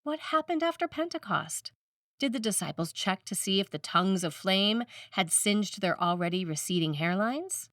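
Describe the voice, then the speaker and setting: A woman's voice: mid-range voice, expressive, moderate pace, fairly bright tone, no echo, clean studio sound, far from the mic. A woman priest reading a scripted sermon aloud.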